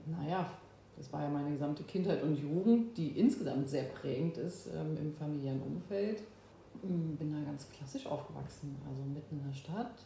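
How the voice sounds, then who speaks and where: A woman in her fifties from Berlin, a guest in an interview, who talks thoughtfully with pauses and fillers. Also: sits low, gravelly, dark tone, slight background noise. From Berlin, Germany. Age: 50s. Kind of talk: oral history interview. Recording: echoey room.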